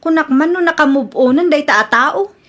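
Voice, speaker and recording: A woman's voice: high-pitched, lively intonation, normal speed, fairly bright tone. A woman playing an earnest, easily exasperated young engaged woman in an Ilocano Filipino radio comedy drama. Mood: puzzled, exasperated.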